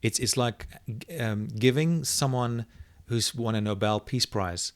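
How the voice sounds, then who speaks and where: A middle-aged man whose first language is Afrikaans, reflectively telling a personal story as an interview guest and often pausing to search for words. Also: medium pitch, no room sound. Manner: thoughtful, searching for words, many restarts.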